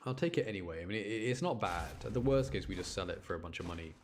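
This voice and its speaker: Guy with a lowish voice chatting over a game stream, rambles and repeats words, noisy background. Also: expressive, fast talker. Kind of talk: casual live gaming commentary.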